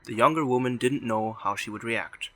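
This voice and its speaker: A man's voice: medium pitch, normal speed, a bit bright. A man reading scripted story narration in the third person for an audio drama.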